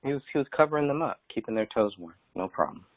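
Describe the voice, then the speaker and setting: A man's voice: mid-range voice, expressive, fast, dry recording. A man hosting a conference call, chatting casually and asking questions. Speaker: host. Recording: dry, muffled lo-fi call audio.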